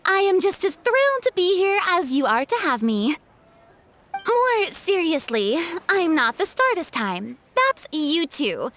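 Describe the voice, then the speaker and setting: Game role: gracious young diva, very high and lively, dramatic, eloquent delivery. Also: female voice, heavily acted. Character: theatrical, gracious young female celebrity star. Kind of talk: video game voice acting.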